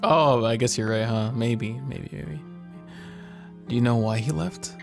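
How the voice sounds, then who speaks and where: A man's voice: mid-range voice, a little slow, vocal fry, music in the background. A man chatting and joking as he reads a visual novel aloud, often breaking off to restart. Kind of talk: casual gaming chat and read-aloud.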